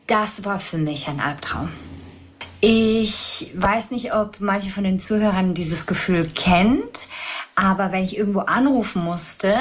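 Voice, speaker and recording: A woman from Spain, a trauma and mindset coach, telling her story as a guest in an earnest, reflective way. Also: medium pitch. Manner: earnest, reflective, speaks in long flowing stretches. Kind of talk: podcast interview, personal storytelling.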